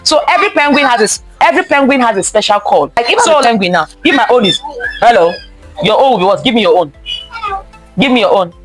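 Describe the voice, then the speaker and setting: High-pitched, animated woman reading to children. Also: fast talker, faint music behind, rough recording. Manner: expressive, questioning, repeats phrases for young listeners. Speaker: teacher reading a picture book aloud to children. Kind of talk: interactive children's story time read-aloud.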